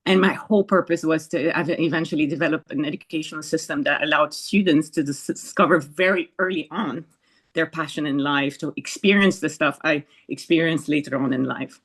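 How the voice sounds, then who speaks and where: A woman's voice: low-pitched, fairly quick, a bit bright, husky. A woman from Ghana, a school director, introducing herself on a video call in an earnest, reflective way. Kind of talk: panel self-introduction.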